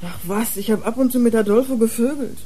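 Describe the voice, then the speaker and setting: A woman's voice: fairly high pitch, fairly quick, mellow, husky. An actress playing a streetwise nineteen-year-old club girl turned informant in a radio crime drama, slangy and blunt. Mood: blunt, matter-of-fact.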